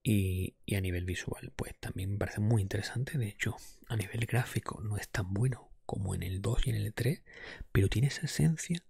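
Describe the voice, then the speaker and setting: Guy from spain doing whispered asmr podcast, super breathy, lowish, casual rambling, close mic. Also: moderate pace, no echo. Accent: Spain Spanish. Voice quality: breathy, mostly whispered, soft-spoken. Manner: informal, rambling, opinionated. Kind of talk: ASMR, whispered casual video game review. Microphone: close microphone.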